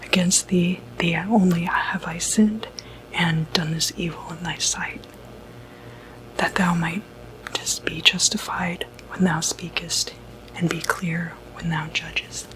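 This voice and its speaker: Casting: female, breathy whisper, unhurried, gentle non-judgmental doctor for ASMR medical roleplay, slightly low pitch. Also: steady tone, a little hiss or hum, rough recording. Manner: gentle, reassuring, hesitant bedside talk. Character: sympathetic, non-judgmental doctor who treats demonic possession.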